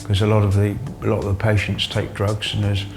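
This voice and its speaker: Halting man with a very flat low voice. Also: gravelly, music in the background. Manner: halting, repeats words. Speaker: interviewee, former detained patient. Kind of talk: unscripted interview testimony.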